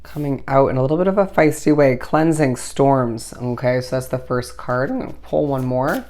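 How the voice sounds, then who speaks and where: Hoarse tarot reader, recovering voice. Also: mid-range voice, lively intonation, moderate pace, a little mellow. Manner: meandering, intuitive delivery full of "I do feel as if"; reassuring, addresses the listener directly. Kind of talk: solo tarot reading to camera.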